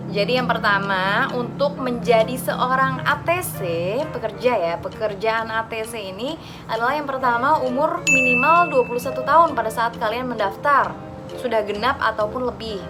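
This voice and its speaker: A woman's voice: fairly high pitch, moderate pace, loud music behind the voice. A woman with an Indonesian accent, explaining things in an informal, instructive vlog style as if talking to friends. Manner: conversational, instructive, addressing listeners as friends.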